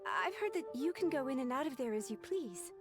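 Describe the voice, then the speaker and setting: An earnest young clerk with a high, clear voice and a polite, formal way of speaking. Game music plays behind her. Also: big pitch swings, a little fast. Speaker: game character.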